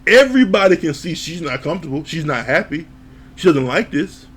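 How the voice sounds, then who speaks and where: A man giving a casual, animated recap of a reality TV episode, laughing, swearing, and acting out what people said. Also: on the high side, fairly quick, slightly rough. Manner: animated, laughs, acts out quoted lines, swears. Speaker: reality TV reviewer.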